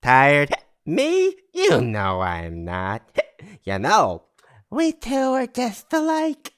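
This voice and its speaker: A man's voice: a little high, wildly swooping pitch, very slow, a bit bright, exaggerated voice. A man playing a chummy, cocky drunk brother in an audio drama, with a drawling, slurred swagger. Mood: drunken glee.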